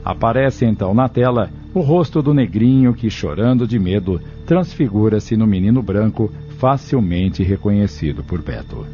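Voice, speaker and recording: A man's voice: lowish voice, animated, normal speed, few pauses. A man giving scripted, dramatic narration for an audio novel. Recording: dull, lo-fi recording with music.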